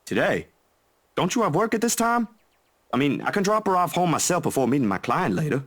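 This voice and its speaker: A man's voice: fairly high pitch, very animated, fairly quick. A man voicing a protective, laid-back young friend in a visual novel, speaking in an earnest, hesitant and colloquial way. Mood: exasperated, teasing.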